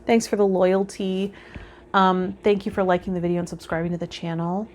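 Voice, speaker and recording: Woman, slightly low voice, gentle reflective manner, tarot reader talking directly to viewers, faint background noise. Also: little pitch movement, fairly quick. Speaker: tarot reader and vlog host. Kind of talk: casual spiritual guidance talk to viewers, partly read out.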